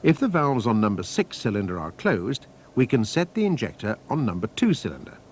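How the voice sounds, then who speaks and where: A man reading a scripted technical training film narration in a measured, precise, instructional manner. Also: medium pitch, very animated. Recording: noisy archive training-film soundtrack.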